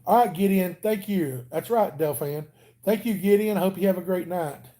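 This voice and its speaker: A man's voice: sits high, moderate pace, dark tone. A man hosting a casual live stream, chatting and answering viewers by name in an easygoing way. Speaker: channel host reading live chat.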